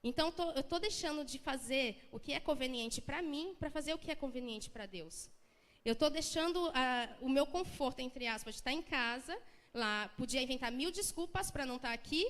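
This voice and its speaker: Earnest high-voiced Brazilian woman in echoing hall. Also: lively intonation, normal speed, fairly bright tone. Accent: Brazilian Portuguese, São Paulo coast. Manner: emotional, earnest, conversational with many restarts. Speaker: church speaker giving testimony. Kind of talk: personal testimony in church. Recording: long church hall reverb.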